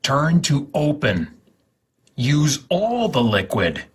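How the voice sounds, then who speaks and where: A man giving a deliberate, dramatic reading of step-by-step instructions with exaggerated enunciation, in a husky, breathy voice. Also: fairly high pitch, wildly swooping pitch. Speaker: host.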